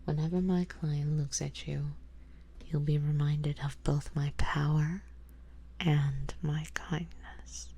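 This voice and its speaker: A woman playing an ancient, wealthy female vampire in an ASMR roleplay, speaking softly and intimately in formal, measured words, composed and quietly possessive. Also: sits low, little pitch movement, exaggerated voice. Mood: patient, assured. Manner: slow, soft, intimate, breathy delivery drifting into whispers. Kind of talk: ASMR roleplay audio drama, addressing the listener.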